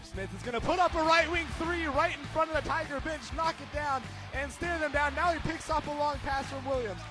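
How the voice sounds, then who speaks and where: A young American man giving live radio sports commentary, moving between measured description and excited, shouted calls. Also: extremely high pitch, over music. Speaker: play-by-play sports announcer.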